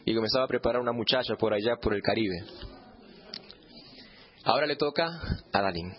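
A man's voice: fairly low pitch, fairly quick, dull audio, a little hiss or hum. A man gives a personal testimony as humorous storytelling, playfully acting out bits of dialogue. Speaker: church testimony speaker.

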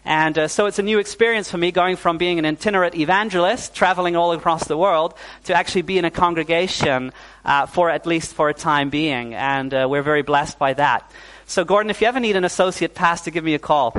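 A man's voice: on the high side, brisk, poor audio. A young man from New Zealand preaching a sermon as pastor to a congregation, often saying "uh" and calling for "amen". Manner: warm, fillers like "uh", calls for "amen".

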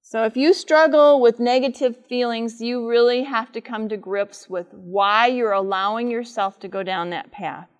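A middle-aged woman with a loud voice speaks live to a conference audience, teaching and asking them frequent questions. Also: fairly high pitch, normal speed, hardly any pauses. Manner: says she has a loud voice. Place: large conference room with air conditioner.

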